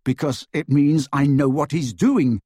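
A man's voice: on the high side, measured pace, warm tone, slightly rough, dry recording, clean studio sound. A man voicing a stubborn, troubled teenage boy in an audiobook, earnest and defensive.

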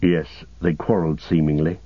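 Loyal hobbit manservant, played by a male reader, humble, homely, practical, husky, in a dull storybook recording. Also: extremely low pitch, fairly even intonation, normal speed, no room sound, voice pushed down. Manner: deferential, homely rustic phrasing.

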